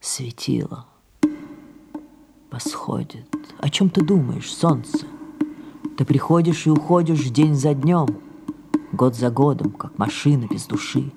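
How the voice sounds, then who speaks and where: A woman's voice: a bass voice, unhurried, vocal fry, pauses often, exaggerated voice. An ageing, self-absorbed great actress in a radio play. She declaims grandly and wistfully, commanding and theatrical. Music plays prominently under her voice. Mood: hushed, brooding. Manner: theatrical, declamatory, dramatic hushed passages. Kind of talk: audio play acting. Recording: music behind the voice.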